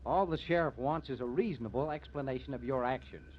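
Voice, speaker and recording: An actor playing a level-headed newspaper editor in a 1950s radio drama. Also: male voice, mid-range voice, fairly quick, close to an everyday voice. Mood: calming. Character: level-headed newspaper editor, married man, peacemaker in tense scenes. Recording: dull, hissy vintage radio recording.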